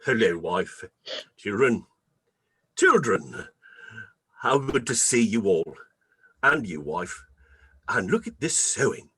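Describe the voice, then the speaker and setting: A tender, grieving father played by a man in a radio play. His lowish, husky voice moves expressively, halting with emotion. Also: very animated, sharp tone, dry recording, full character voice. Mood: forced cheer. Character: tender, grief-stricken poor father.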